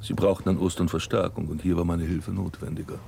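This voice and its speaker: Very gravelly, low fatherly priest. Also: little pitch movement, fast talker, dark tone, a little hiss or hum. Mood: calm, kindly. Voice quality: deep, very creaky. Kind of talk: radio drama dialogue.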